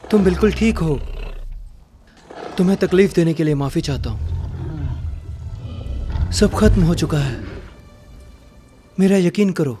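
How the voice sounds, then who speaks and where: A man's voice: high voice, lively intonation, unhurried, long pauses, mellow, soft background music. A man voicing a friendly, reassuring young male hero in a game dub. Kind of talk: Hindi game dub acting.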